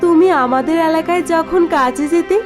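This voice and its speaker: A woman voicing a gentle young new bride in a cartoon story, speaking softly and politely. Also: extremely high pitch, moderate pace, music in the background. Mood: dutiful. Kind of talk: cartoon voice acting.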